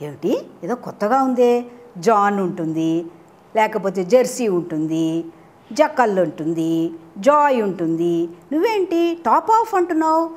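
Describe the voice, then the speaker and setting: A woman's voice: mid-range voice, very animated, unhurried, long pauses, a little mellow. A woman storyteller voicing a trusting, curious cat in a children's tale, eager and full of questions. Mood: curious, puzzled.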